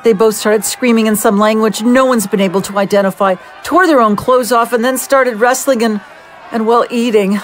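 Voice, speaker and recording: A woman's voice: fairly high pitch, steady tone, normal speed, a faint crowd, slight background noise, close to an everyday voice. A woman playing a veteran war correspondent who is losing her composure during a field report in an audio drama. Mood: shaken, reporting. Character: veteran female war correspondent unraveling into madness.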